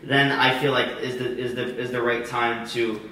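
A man's voice: mid-range voice, flat delivery, normal speed, bright, forceful tone. A twenty-two-year-old man talking casually and hesitantly, rambling with many restarts. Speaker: vlogger's boyfriend. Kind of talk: casual chat. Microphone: hidden camera across the room. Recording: very echoey room, voice far from the mic.

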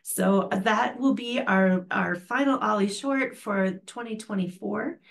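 This older woman hosts an online class over a video call, her voice carrying a gravelly edge and an even middle pitch. She reads out notes for the coming week in a friendly, chatty way from a home office. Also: unhurried. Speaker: program host. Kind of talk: online class wrap-up and announcements.

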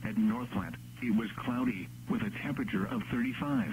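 A synthetic male announcer reading scripted weather bulletins in a measured, impersonal text-to-speech delivery. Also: lowish voice, darkish tone. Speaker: automated weather radio announcer. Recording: noisy radio broadcast with hum.

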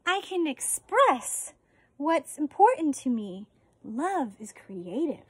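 An American woman in her forties, a teacher reading a children's picture book aloud outdoors with deliberate, expressive phrasing. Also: sits high. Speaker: elementary school teacher reading a story. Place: outdoors on a residential deck.

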